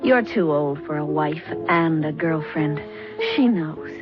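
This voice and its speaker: Bitter scorned young playwright. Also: female voice, sits low, lively intonation, slow. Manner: sharp, cutting. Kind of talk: 1970s radio drama acting. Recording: muffled radio drama with music.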